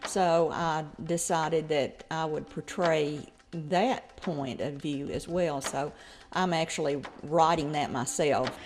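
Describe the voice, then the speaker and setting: A woman's voice: sits low, takes it slowly, soft background music. A woman talking in an interview about her own work in community theater. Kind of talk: interview talk.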